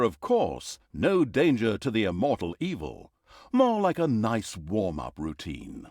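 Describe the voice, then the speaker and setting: A man playing a wry, mocking storyteller who narrates a dark fantasy comedy game in a theatrical way. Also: mid-range voice, no room sound, studio quality, exaggerated voice.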